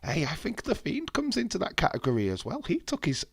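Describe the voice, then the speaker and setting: A man's voice: fairly high pitch, wildly swooping pitch, a little fast, gravelly, breathy edge, no echo, clean studio sound. A man with a head cold, chatting casually and bluntly on a live stream, swearing freely and answering viewers' messages. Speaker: wrestling watch-along streamer.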